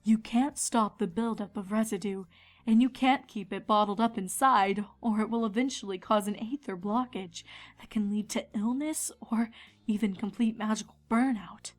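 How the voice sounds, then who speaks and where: A woman's voice: mid-range voice, normal speed, close to an everyday voice. A woman voicing a regal, placid pony princess who teaches patiently and delicately in a cartoon-style fan reading. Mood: explaining calmly.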